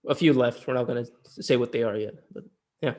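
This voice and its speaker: A man's voice: mid-range voice, quick pace, a little mellow. A man co-hosting a podcast in casual film chat, informal and loose-tongued with the odd swear word. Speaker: podcast co-host.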